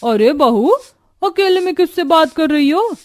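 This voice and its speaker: A woman's voice: high voice, animated, unhurried, no echo, voice pushed up. A woman voicing a nosy, gossipy neighbourhood aunty in a cartoon. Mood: curious.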